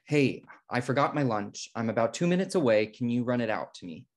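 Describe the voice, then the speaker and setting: A man's voice: mid-range voice, lively intonation, brisk, close to an everyday voice. Uptight, exasperated American schoolteacher played by a man. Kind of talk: comedy table read. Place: indoor room, video call.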